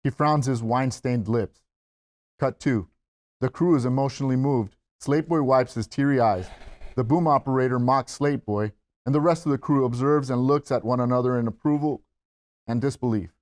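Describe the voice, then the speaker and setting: Male narrator-host for a script reading, medium pitch, reading out stage directions and addressing the cast. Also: moderate pace.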